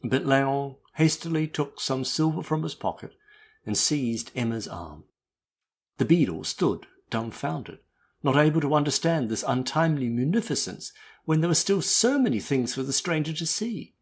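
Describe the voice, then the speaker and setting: A man narrating a classic novel as a dramatic audiobook, with a husky voice. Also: a little high, lively intonation, moderate pace.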